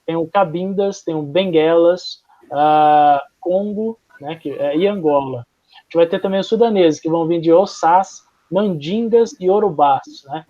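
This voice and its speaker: A man's voice: fairly high pitch, a little slow, dark tone. A young adult man from Brazil with a Brazilian Portuguese accent, giving a casual classroom history lecture full of fillers and restarts. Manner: chatty, informal, frequent fillers and restarts. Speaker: history teacher. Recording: dull, lo-fi.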